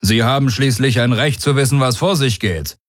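Man voicing a proud, high-pitched alien warlord. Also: normal speed, dry recording, clean studio sound, natural voice. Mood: smugly polite. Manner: commanding, smugly self-assured. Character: proud, bold alien warrior warlord, coolly mocking. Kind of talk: audiobook character dialogue.

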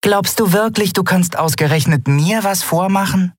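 A man's voice: sits high, moderate pace, no room sound, clean studio sound, faint music behind, voice pushed up. A man voicing a cheeky, loyal woman with a teasing, sarcastic edge in an audiobook. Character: cheeky, loyal, sharp-tongued female crew friend.

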